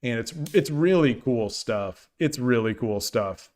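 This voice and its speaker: A man chatting casually on a shogi live stream, reading viewers' messages aloud, his voice getting dry and hoarse from long talking. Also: medium pitch, expressive, unhurried, a little mellow. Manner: rambling, many restarts, reads chat aloud.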